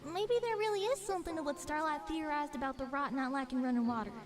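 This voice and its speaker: A woman's voice: sits high, fast talker, background noise, lightly acted. A woman voicing a young female pony in an audio drama, anxious but determined and plain-spoken. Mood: pondering. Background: background music.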